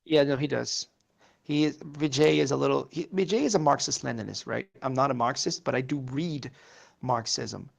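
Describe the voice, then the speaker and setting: A man's voice: mid-range voice, moderate pace, vocal fry. A man whose first language is Portuguese, rambling through casual political chat with many fillers and curses.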